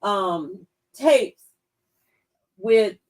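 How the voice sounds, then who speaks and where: A woman's voice: mid-range voice, expressive, drawn-out words. A woman in a casual political discussion on a talk show, speaking conversationally.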